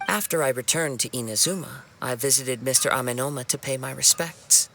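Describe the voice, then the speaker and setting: A man voicing a humble, gentle young wandering samurai in a video game, speaking in a formal, measured and earnest way. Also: mid-range voice, gravelly, a little hiss or hum. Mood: polite, composed. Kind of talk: scripted video game dialogue. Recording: game music behind the voice. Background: background music.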